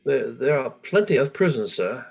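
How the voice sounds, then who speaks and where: A man playing a polite, earnest gentleman collecting for charity in a radio play, speaking formally and courteously. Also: mid-range voice, very animated, normal speed, gravelly, breathy edge, exaggerated voice. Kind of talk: theatrical radio play acting.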